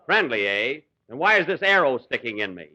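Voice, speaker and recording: A man's voice: medium pitch, big pitch swings, fairly quick, bright, forceful tone, full character voice. A man playing a fussy, exasperated Ranger major in a 1940s radio comedy, mock-commanding and put-upon. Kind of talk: 1940s radio comedy acting before live audience. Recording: 1940 live radio broadcast.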